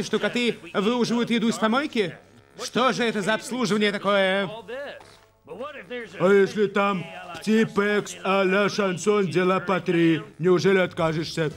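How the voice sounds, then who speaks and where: A fussy, pompous male cartoon animal, acted by a man, with a high voice. He grumbles theatrically while music plays behind him. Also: natural voice. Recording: music under the voice.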